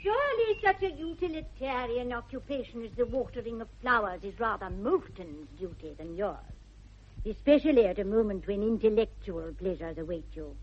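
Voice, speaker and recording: A woman's voice: on the high side, expressive, normal speed, close to an everyday voice. A woman acting a prim, severe, self-important governess with an English accent, lecturing in a 1960s radio comedy. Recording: muffled vintage radio recording.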